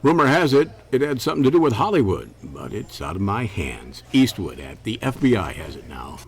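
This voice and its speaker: A man's voice: lowish voice, very animated, a little fast, slight background noise. A man playing a sardonic, needling police lieutenant in a campy noir radio play, wry and theatrical. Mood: cagey.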